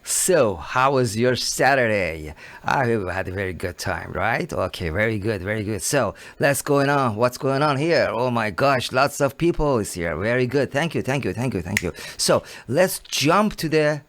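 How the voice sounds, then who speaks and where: Man hosting a halting live show. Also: medium pitch, animated, fairly bright tone, dry recording. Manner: halting non-native English with repeated phrases. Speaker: host of a live call-in show.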